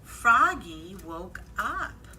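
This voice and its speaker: A woman's voice: mid-range voice, sing-song, drawn-out words, bright, forceful tone, slight background noise. A woman hosting a children's library storytime, speaking in an exaggerated sing-song way for young children. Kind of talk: children's storytime, read-aloud and singalong.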